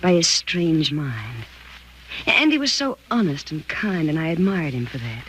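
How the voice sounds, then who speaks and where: A woman's voice: low-pitched, big pitch swings, unhurried, a bit bright, exaggerated voice. An American actress playing a frightened, haunted young woman in a 1940s radio thriller, with intense, melodramatic delivery. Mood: wistful, reflective. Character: frightened, haunted 28-year-old woman recalling her marriage. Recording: noisy vintage 1940s radio recording.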